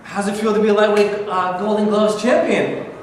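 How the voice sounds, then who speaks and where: Brief: male actor as tough but encouraging boxing coach, high male voice, halting read, echoey hall. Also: flat delivery, close to an everyday voice. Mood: excited. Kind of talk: staged play reading. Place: mid-sized rehearsal studio, far camera. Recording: echoey hall, faint noise.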